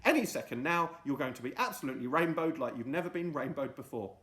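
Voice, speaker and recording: A man voicing Friday, an excitable, lovestruck young man in a storybook, with a high, theatrical, wailing voice. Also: moderate pace, echoey room. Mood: dramatic.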